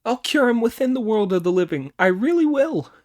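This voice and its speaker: A man's voice: very high-pitched, a little fast, voice pushed up. A man reading an audiobook, voicing a cheeky, boastful male monkey king who bosses the others around. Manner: boastful, commanding, excitable.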